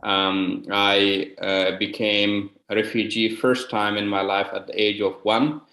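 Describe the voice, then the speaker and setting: Male interview guest, forty, from Afghanistan, lowish flat voice, hesitant phrasing, conversational. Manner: hesitant, halting delivery. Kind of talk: conversational personal account. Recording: roomy, echoey sound.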